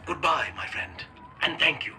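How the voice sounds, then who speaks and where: An actor playing a doomed male scientist in a game, speaking formally and gravely, pleading and resigned. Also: fairly high pitch, pushed and bright. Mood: sorrowful pleading. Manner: formal, measured, sorrowful. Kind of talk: dramatic game voice acting.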